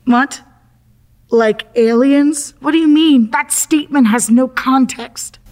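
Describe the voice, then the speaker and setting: A woman's voice: sits high, slow, darkish tone. A woman playing a confused, exasperated accident victim in an audio drama, with sarcastic, dramatic, slangy delivery. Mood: puzzled. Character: confused, exasperated accident victim stuck in afterlife waiting room.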